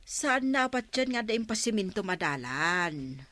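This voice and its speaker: A woman's voice: mid-range voice, big pitch swings, normal speed, fairly bright tone. A woman with an Ilocano accent playing a frank, scolding village woman in a radio comedy drama. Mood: informing.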